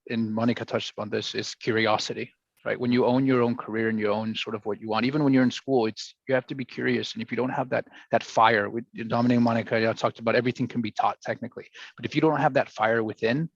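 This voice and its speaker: A man's voice: mid-range voice, fairly even intonation, fast, vocal fry, no echo, dull audio. A man from Brazil speaking as a finance panelist on a video call, earnest and full of restarts and fillers.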